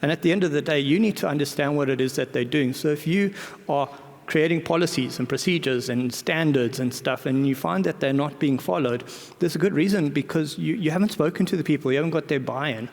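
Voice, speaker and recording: A man's voice: on the high side, brisk. Man giving a talk in a reverberant hall. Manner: hesitant, often repeats words and backtracks; colloquial, self-deprecating. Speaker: conference speaker. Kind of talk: informal conference presentation.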